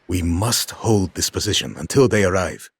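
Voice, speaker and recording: An actor playing a grave, dependable male ally with a husky, raspy voice in a video game cutscene. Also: low-pitched, moderate pace. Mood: assured.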